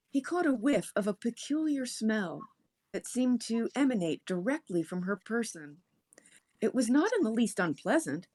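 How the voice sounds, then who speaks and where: Animated woman reading a story aloud. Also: fairly high pitch. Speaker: story reader on a stream. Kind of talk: expressive read-aloud narration of a horror story.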